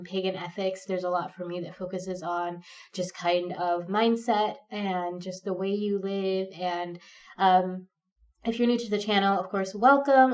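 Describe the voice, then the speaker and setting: A woman in her thirties with a cold, talking off the cuff in a rambling, hesitant way full of ums and likes, a little stuffed up and sniffly. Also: mid-range voice. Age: 30s. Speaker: pagan vlogger. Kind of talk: unscripted rambling monologue. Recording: distant, dull lo-fi sound.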